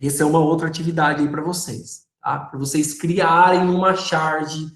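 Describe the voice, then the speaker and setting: A man's voice: fairly high pitch, little pitch movement, normal speed, fairly bright tone. Man, Brazilian Portuguese accent, schoolteacher, online lesson, patient, frequent check-ins, echoey room. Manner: patient, checks in often with short tags. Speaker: religious education teacher. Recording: roomy echoey room sound.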